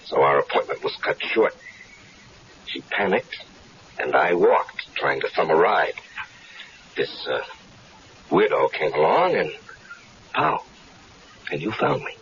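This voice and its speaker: A man's voice: a bass voice, very animated, slow, long pauses, fairly bright tone, slight background noise, heavily acted. An actor playing an easygoing stranger who tells his story in a wry, casual way, in a 1970s radio drama. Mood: storytelling. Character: easygoing stranger caught up in a plot. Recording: dull lo-fi 1970s radio recording.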